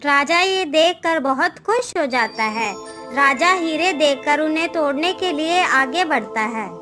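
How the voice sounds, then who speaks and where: ऊँची आवाज़ वाली महिला कथावाचक. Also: female voice, sits high, lively intonation, unhurried, sharp tone. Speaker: storyteller. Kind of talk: scripted moral-story narration. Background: background music.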